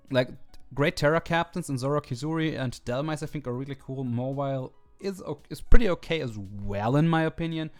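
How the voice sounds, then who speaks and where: A man hosting a video, talking casually with many fillers and restarts, his voice slightly hoarse. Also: medium pitch. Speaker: video host ranking teams. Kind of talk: casual commentary. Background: faint background music.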